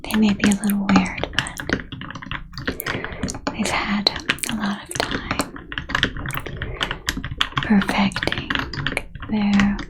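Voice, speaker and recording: A woman playing a calm, courteous female ghost from the 1930s working as an airline gate agent in a soft-spoken ASMR role-play, with a breathy, mostly whispered, gentle delivery. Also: medium pitch, heavy background noise. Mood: reassuring. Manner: gentle, courteous, unhurried customer-service delivery.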